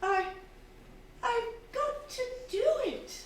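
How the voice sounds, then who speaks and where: A woman's voice: extremely high pitch, lively intonation, very slow, exaggerated voice. A woman playing a domineering, suspicious and fearful wife in a radio drama, with an agitated, demanding, theatrical delivery. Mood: fearful, fixated. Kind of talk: stage radio drama acting. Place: theatre stage before a live audience. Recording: very echoey hall, distant, faint noise.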